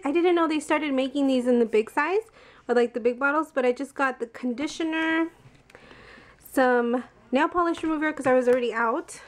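A young woman in her twenties chatting casually to a handheld camera as a beauty vlogger, giggly and full of "like". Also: high voice. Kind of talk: casual vlog chat. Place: car, home and stores. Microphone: handheld camera at arm's length.

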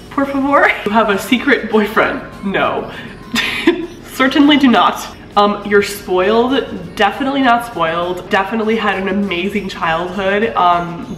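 Chatty young woman in an echoey room. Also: fairly bright tone. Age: 20s. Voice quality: deeper voice for a woman. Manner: chatty, laughs often, swoops up in pitch. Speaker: vlogger. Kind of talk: casual chat reading comments. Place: living room of an apartment. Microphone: camera mic at a few feet.

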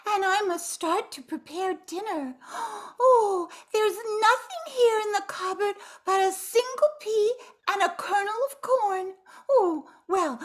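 A woman's voice: extremely high pitch, a bit bright, exaggerated voice. An older woman playing a sweet, naive young granddaughter in a radio melodrama, with theatrical, wailing delivery. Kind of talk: old-time radio melodrama acting. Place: at home on a group video call.

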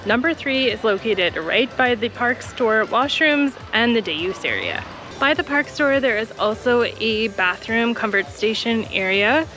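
A young woman with a slightly high voice walks through a wooded park, talking into a camera she holds at arm's length. She gives clear, practical tips in a friendly, informative way. Soft music plays faintly behind her. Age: young adult. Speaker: travel vlogger touring a campground. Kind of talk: informative park tour narration. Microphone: camera held at arm's length.

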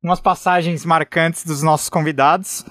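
A young man hosting a podcast in a studio, introducing a segment in relaxed casual chat. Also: a little high, normal speed, no room sound. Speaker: podcast host. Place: podcast studio, small room. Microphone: desk mic on a stand.

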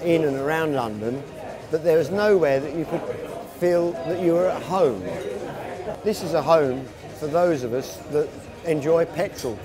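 A middle-aged man talking about his cafe in a seated documentary interview, reflective and unhurried, recorded indoors in a cafe. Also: fairly high pitch.

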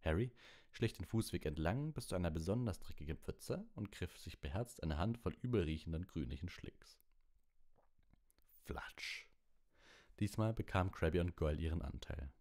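A man's voice: sits low, lively intonation, moderate pace, darkish tone. A man narrating an audiobook in a storybook reading style.

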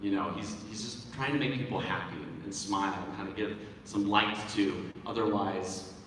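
A man answering as a voice actor on a stage panel, speaking earnestly and reflectively in a halting, casual way. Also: lowish voice, steady tone, fairly bright tone. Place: large convention hall stage, audience recording from afar. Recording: very echoey hall from far off, faint noise.

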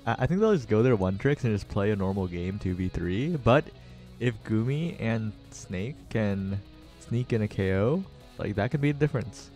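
A man giving color commentary on an online esports tournament in a relaxed, chatty way. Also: lowish voice, normal speed. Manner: relaxed and chatty, laughs easily. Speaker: esports co-caster. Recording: faint game music behind.

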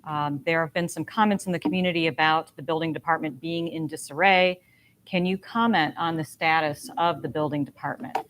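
Lowish woman moderator over noise. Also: fairly even intonation, fairly quick, a bit bright. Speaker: forum moderator. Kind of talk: moderating a candidate forum. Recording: clear background noise.

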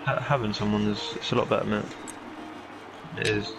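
A man's voice: fairly low pitch, fairly even intonation, moderate pace, noisy background. A young man, bantering and swearing casually with a friend while gaming. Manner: rambling, swears freely, teasing. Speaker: streamer. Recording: loud music playing behind.

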